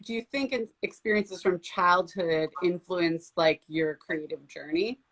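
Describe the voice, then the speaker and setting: A woman's voice: lowish voice, a little slow, a bit bright, rough recording. A woman hosting an interview, asking questions in a friendly, upbeat way with frequent fillers. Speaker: interview host. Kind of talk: casual interview.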